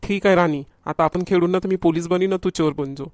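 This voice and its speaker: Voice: medium pitch, a little fast, dry recording, studio quality, lightly acted. A performer voicing a food-loving, whiny young schoolboy in a homemade comedy cartoon, with a Varhadi Marathi accent. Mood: teasing, bossy. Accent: Varhadi Marathi from Vidarbha.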